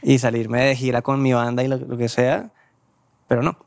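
A man's voice: medium pitch, fairly even intonation, moderate pace, gravelly, a little mellow. A young man from Cartagena with a Colombian Caribbean coast accent, a band member guest telling stories in a jokey, self-mocking way. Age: young adult.

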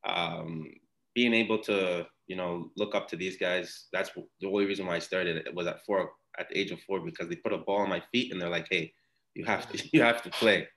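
Deep-voiced young guy from ghana, laid back, rambling with lots of you knows and restarts. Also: a little fast, rough recording. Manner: relaxed, rambling with many fillers and restarts. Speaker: podcast guest, former soccer player. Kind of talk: casual interview chat.

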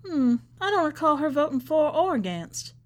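A woman's voice: high voice, big pitch swings, normal speed, pitched above the performer's own voice. Woman doing a chatty church lady in a story, folksy talk, kinda cagey, rambles on. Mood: guarded, pointed. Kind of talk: acted storybook dialogue.

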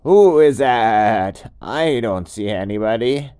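A man voicing a confident, easily amazed mountain goat in a bedtime story, with boastful, drawn-out exclamations. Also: medium pitch, expressive, mellow, clean tone, voice pushed up. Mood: puzzled.

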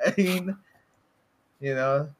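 A man with a Filipino accent chatting casually about movies as a podcast co-host, halting and prone to chuckling as he talks. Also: a little high, slight background noise.

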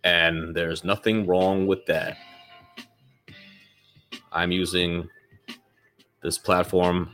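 A man's voice: deep voice, level tone, very slow, pauses often, fairly bright tone, soft background music. A man hosting his own podcast in loose, rambling casual talk, swearing freely and sounding slightly nasal from a lingering cold. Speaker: podcast host.

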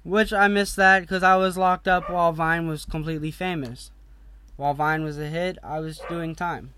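A man's voice: a little high, bright, forceful tone, clear, resonant tone, dry recording. A young man hosting his own podcast, ranting bluntly and heatedly, swearing freely and breaking off into casual asides. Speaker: podcast host.

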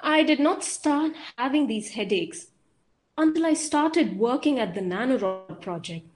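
A woman playing a suspicious, earnest scientist in an audio drama, speaking in a deliberate, measured way. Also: high-pitched, lively intonation. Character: suspicious, earnest female scientist, quietly insistent.

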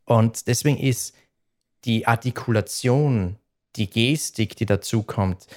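A man's voice: mid-range voice, measured pace, a little mellow. A man speaking soberly and at length, with a dialect and frequent restarts. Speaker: streamer. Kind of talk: conversation.

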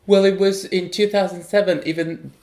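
A man's voice: high-pitched, fairly even intonation, normal speed, a little mellow, echoey room. A man from Chile, a tea sommelier, talking as a guest in an interview, thoughtful, with frequent fillers and restarts. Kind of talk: relaxed interview conversation in English.

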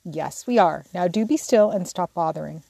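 A woman's voice: on the low side, animated, normal speed, vocal fry, warm tone, no echo. A woman voicing a patient, motherly young eldest sister in a storybook, soothing in manner. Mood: firm.

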